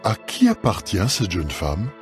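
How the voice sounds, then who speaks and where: A man plays a wealthy older landowner in a Bible audio drama, kindly but used to giving orders. His voice sits fairly low, with soft music behind it. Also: wildly swooping pitch, a little slow, heavily acted. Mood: courteous. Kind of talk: dramatised scripture reading.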